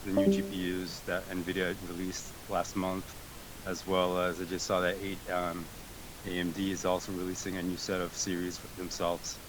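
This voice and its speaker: A man with a very low voice answers questions on a voice call, pausing and restarting often. He comes from Greece. A faint hiss sits under the line. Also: completely flat delivery. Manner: hesitant, many fillers and restarts. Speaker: guest, tech support team member. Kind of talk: casual interview answers. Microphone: remote voice chat call.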